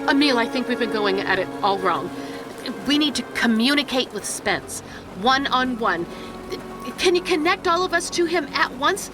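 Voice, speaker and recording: An actress playing an earnest, excitable young woman in an audio drama, emotional and urgent. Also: sits high, moderate pace, over music. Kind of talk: cinematic audio drama acting.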